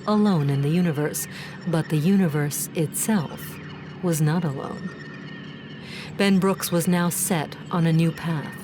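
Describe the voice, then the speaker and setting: A woman playing the solemn narrator of a science fiction audio drama, grave and deliberate. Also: on the low side, noisy background, close to an everyday voice.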